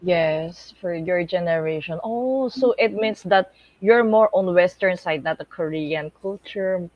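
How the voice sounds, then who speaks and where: A woman's voice: lowish voice, measured pace, darkish tone, dry recording, poor audio. Dry-sounding Filipino woman hosting an interview. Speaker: radio host interviewing guests. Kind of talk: casual bilingual interview, mixing Tagalog and English.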